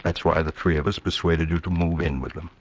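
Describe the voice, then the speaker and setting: An actor playing a grave, courtly small-town judge, formal and solicitous, in a 1940s radio drama on a noisy old recording. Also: male voice, very deep voice, fairly even intonation, a little fast, husky, full character voice. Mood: regretful, grave.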